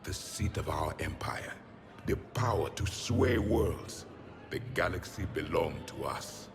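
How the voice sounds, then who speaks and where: A man's voice: low-pitched, lively intonation, slow, over music. A man voicing a proud ancient alien warrior in a video game, solemn and imperious. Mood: solemn, wistful.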